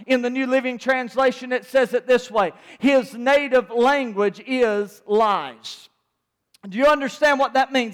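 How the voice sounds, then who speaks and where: Male preacher, very high voice, emphatic repetitive cadence, long church-hall reverb. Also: a bit bright. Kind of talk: sermon.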